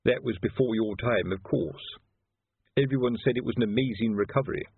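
A man voicing a weary, gruff middle-aged male watch sergeant. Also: medium pitch, a little fast, dry recording, phone-quality sound. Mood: reminiscing.

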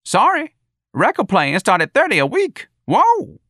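A man's voice: very high voice, wildly swooping pitch, measured pace, bright, forceful tone, no room sound, clean studio sound, voice pushed up, full character voice. A man voicing a streetwise, defiant and fed-up male bar janitor for an audiobook, with slangy speech and whooping exclamations. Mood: defiant mockery. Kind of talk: audiobook character voice.

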